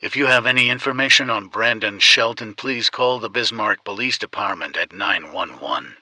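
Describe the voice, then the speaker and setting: Low-voiced man reading an emergency alert. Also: moderate pace, bright, forceful tone, a little hiss or hum. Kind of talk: scripted emergency announcement.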